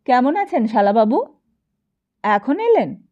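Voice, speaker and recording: A woman's voice: fairly high pitch, big pitch swings, takes it slowly, mellow, dull audio. A woman voicing a curious, deferential village man in an audiobook, speaking politely and inquisitively. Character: curious, deferential village men greeting an in-law. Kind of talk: audiobook character dialogue.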